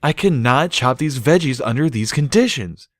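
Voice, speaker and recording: A man's voice: medium pitch, lively intonation, normal speed, no room sound, clean studio sound, voice pushed up, close to an everyday voice. A man voicing a frazzled, reluctant adult male unicorn in a storybook reading, with strained, hesitant delivery. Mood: protesting.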